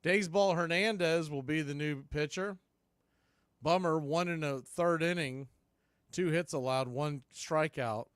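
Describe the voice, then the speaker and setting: Clear-voiced male baseball play-by-play announcer. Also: a little high, unhurried, no room sound, studio quality. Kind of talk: live baseball commentary.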